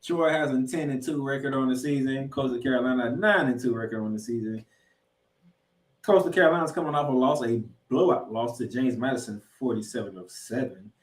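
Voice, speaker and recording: A man co-hosting a sports podcast, previewing games and picks with drawn-out, emphatic announcer-style introductions. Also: mid-range voice. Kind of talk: college football game previews and picks. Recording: roomy, echoey sound.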